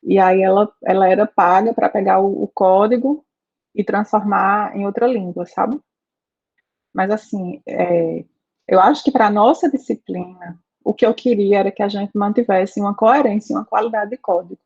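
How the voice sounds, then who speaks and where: A woman's voice: medium pitch, moderate pace, darkish tone. Brazilian lady teaching coding, chatty, keeps asking the class, kinda dull lo-fi sound. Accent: Brazilian Portuguese. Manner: conversational, frequent "né?" and "tá?" checks. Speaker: programming teacher. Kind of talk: live online class, asking students questions. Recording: slightly dull lo-fi audio.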